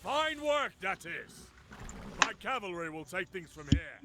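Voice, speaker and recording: A man's voice: high-pitched, big pitch swings, a little slow, over loud music, full character voice. An actor playing an imperious, boastful warrior king of ancient Persia, commanding and scornful in a declamatory game style. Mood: commanding, smug. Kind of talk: dramatic game voice acting. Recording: battle noise behind the voice.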